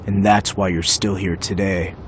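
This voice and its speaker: Man playing a low-voiced, cool young game hero. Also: normal speed, slight background noise, natural voice. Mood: earnest. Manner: dry, steady, matter-of-fact. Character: cool, dry young male warrior hero, pragmatic. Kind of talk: video game voice acting. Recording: loud music under the voice.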